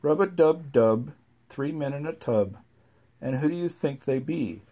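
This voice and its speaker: Gravelly parent reading nursery rhymes. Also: medium pitch, measured pace, mellow. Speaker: parent reading to a young child. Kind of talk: reading nursery rhymes aloud, chatting with a small child.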